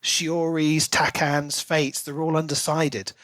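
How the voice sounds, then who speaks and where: A man's voice: on the high side, little pitch movement, slow pace, a bit bright, dry recording, clean studio sound. A man co-hosting a podcast, talking through a book in a casual, thoughtful and analytical way. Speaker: podcast co-host.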